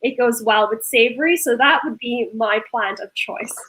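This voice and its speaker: A woman's voice: on the high side, normal speed, fairly bright tone, rough recording. A woman who grew up in Norway, speaking earnestly and with passion as a panelist in a discussion. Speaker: panelist, food startup founder.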